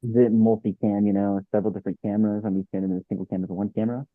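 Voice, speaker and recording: A man speaks casually as one of a discussion group. His voice is on the low side and he talks quickly, over a dull, lo-fi microphone. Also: flat delivery, no room sound. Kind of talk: casual chat.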